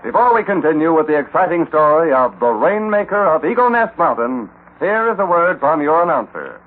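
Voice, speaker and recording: A man's voice: fairly high pitch, sing-song, brisk, a little hiss or hum. An American man giving scripted narration for an old-time radio western. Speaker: announcer and narrator. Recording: narrow-band vintage radio recording. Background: music.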